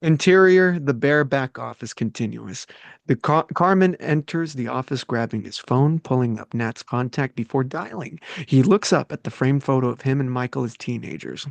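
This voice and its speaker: A man, the writer of the script, reading stage directions in a brisk, joking and sweary way. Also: mid-range voice, animated, creaky, no echo. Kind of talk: casual table-read narration.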